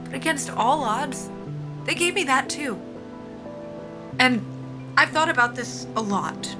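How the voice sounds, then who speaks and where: A woman's voice: high-pitched, lively intonation, slow pace, over music. A woman playing a desperate female military lieutenant in an audio drama, speaking earnestly and informally as she pleads her case. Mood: earnest, defensive. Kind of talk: cinematic audio drama acting.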